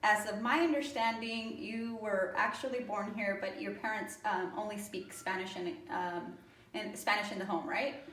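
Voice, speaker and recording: A woman interviewer asks questions with halting phrasing and frequent fillers. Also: mid-range voice, fairly even intonation, a bit bright. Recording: echoey room, far from the mic, faint noise.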